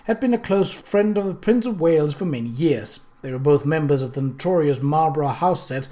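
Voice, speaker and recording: A man's voice: fairly high pitch, animated, a little fast, warm tone. A man reading a novel aloud as an audiobook narrator, in scripted storytelling prose.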